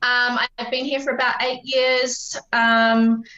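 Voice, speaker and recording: A young woman with a New Zealand accent talks through her upbringing in an open, reflective way, often pausing on "um." Her voice is bright and edgy. It comes over a remote call, muffled and echoing in a roomy space. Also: a little high, flat delivery. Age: 20s. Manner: open, reflective, many "um" fillers. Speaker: podcast guest. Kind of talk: casual conversational storytelling.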